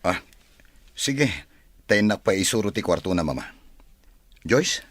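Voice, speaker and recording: Man as earnest young husband, low husky voice, pleading, lovestruck, audio drama, dry recording. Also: expressive, measured pace, a bit bright, dry recording, exaggerated voice. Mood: polite, eager. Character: earnest grown son and lovestruck husband wanting children.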